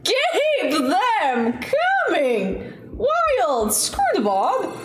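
High-pitched, lively young woman streamer. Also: extremely slow. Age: young adult. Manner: playful, repetitive pleading with the game. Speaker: slot streamer. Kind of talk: casual livestream commentary on a slot game.

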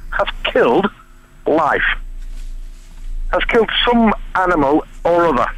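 A man calling a radio phone-in, arguing and interrupting with rapid rhetorical questions. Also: fairly high pitch, big pitch swings, a bit bright, dry recording, lo-fi sound. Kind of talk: argumentative call-in.

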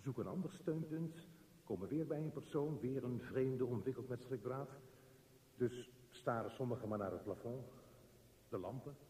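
Guy playing a uneasy brooding train traveller musing in bits, mellow voice, radio drama, bit of noise. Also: medium pitch, natural voice. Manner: halting, musing, fragmentary sentences. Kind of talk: radio drama interior monologue. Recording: noisy radio play, echoey, reverberant.

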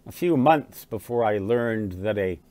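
A man's voice: on the low side, expressive, a little slow, a little mellow. A man in his sixties or older, reminiscing casually to the camera in a rambling, conversational explaining manner. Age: 60s or older. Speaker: amateur radio hobbyist and vlogger. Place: small living room at home with fireplace.

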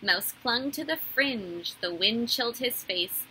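A woman in her thirties reading a children's story aloud like a teacher, in a deliberate, animated storytelling way. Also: on the high side, slight background noise, low-quality recording. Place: small indoor room.

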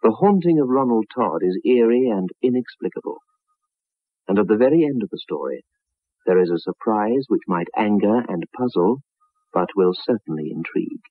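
A man narrating a scripted 1960s radio preview in a measured, ominous storytelling manner. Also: fairly low pitch, expressive, dry recording. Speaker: radio show announcer. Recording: muffled narrow-band vintage radio recording.

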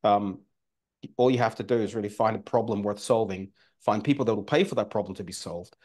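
A man's voice: fairly low pitch, brisk, a little mellow. A man in his forties with an Australian accent, talking casually as a guest on a video call, with many fillers and restarts. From former Soviet Union, raised in Australia. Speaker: guest entrepreneur. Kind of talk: casual interview talk. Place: home room by a fireplace. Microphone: video call webcam.

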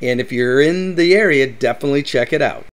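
A man's voice: medium pitch, brisk, clean tone. A middle-aged man hosting a travel vlog, giving upbeat tips and often saying "that's right". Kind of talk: enthusiastic travel guide narration.

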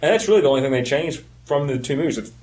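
A man with a husky voice chatting casually about films as a podcast co-host. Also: fairly high pitch, expressive, quick pace, a little mellow.

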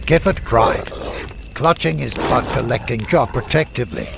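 A man's voice: medium pitch, normal speed, vocal fry, heavy background noise. A man reading a scripted fantasy story as an audiobook narrator. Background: music.

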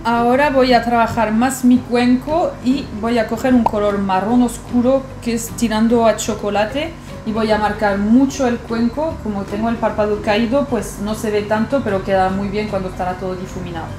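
A woman's voice: a little high, steady tone, moderate pace, noisy background. A young woman, a native French speaker with a French accent, chatting casually as a guest in a makeup video. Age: young adult. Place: small bright bedroom, indoors. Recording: echoey room with background music.

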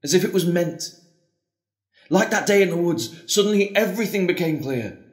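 A devout priest in a radio play. His voice sits a little high for a man, earnest, tender and solemn. It echoes in a roomy space. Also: lively intonation, moderate pace. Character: John, devout, tender, doubting priest facing the end. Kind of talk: audio drama acting.

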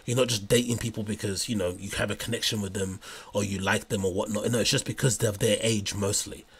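Husky-voiced man rambling on a stream. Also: on the low side, fairly even intonation, quick pace. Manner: rambling, hesitant, many fillers. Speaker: streamer reacting to clips. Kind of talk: casual livestream commentary.

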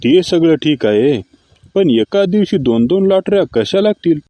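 A man's voice: fairly high pitch, lively intonation, normal speed, muffled recording, natural voice. A man playing a droll, bantering husband in a cartoon comedy skit. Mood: dry, teasing.

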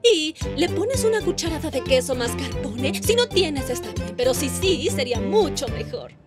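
A fussy cartoon celebrity host, high lively voice, self-assured, loud music behind. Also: female voice, normal speed. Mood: demanding.

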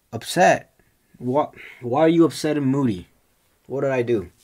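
A young man playing a tender, doting boyfriend in a soft ASMR roleplay, gentle, coaxing and reassuring, with a breathy voice often near a whisper. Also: medium pitch. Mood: teasing, puzzled.

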